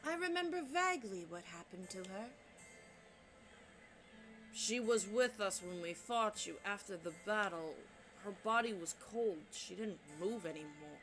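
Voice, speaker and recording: A woman voicing a calm, gentle adult sorceress in a video game, speaking softly and in a measured way. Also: mid-range voice, faint music behind, pitched below the performer's own voice. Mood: solemn, gentle.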